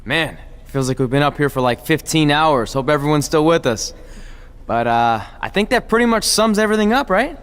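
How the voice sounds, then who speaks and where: An actor in a video game cutscene playing a cheerful, goofy retired wrestler who now hosts TV, with an excitable, playful manner. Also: male voice, on the high side, moderate pace, clear, resonant tone, natural voice. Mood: relaxed, celebratory.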